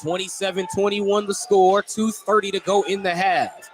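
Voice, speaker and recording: A male radio announcer with a fairly high voice calls a basketball game live. He describes the action in short, clipped phrases and gets excited on big plays. The noisy ambience of an indoor gym sits clearly behind him. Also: normal speed. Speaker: play-by-play radio sports announcer. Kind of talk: live basketball play-by-play commentary.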